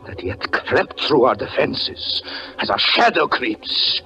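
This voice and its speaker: An actor playing a tormented, brooding stage magician in a 1940s radio suspense drama, intense and theatrical with halting dramatic pauses. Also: male voice, mid-range voice, very animated, sharp tone, exaggerated voice. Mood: grim, urgent. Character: tormented stage magician confessing jealous obsession, anguished and brooding. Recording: muffled 1940s radio broadcast with music.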